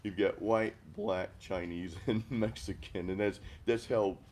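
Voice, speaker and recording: Man, from the Deep South, middle-aged, deep voice, rambling casual host, self-deprecating. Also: steady tone, measured pace, darkish tone, slight background noise. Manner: rambling, self-deprecating, frequent restarts and laughs. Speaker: host of a music chat stream. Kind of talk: casual chat over beers, reading live comments.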